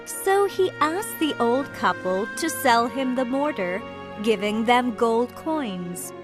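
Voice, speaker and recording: A woman narrating a children's fairytale from a script, in clearly phrased storytelling style. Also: a little high, expressive, slow pace, music in the background.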